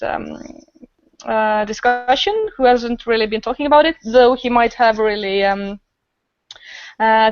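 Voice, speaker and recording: Female podcast guest, Estonian accent, smooth high voice, casual chat, slightly dull audio. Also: dry recording.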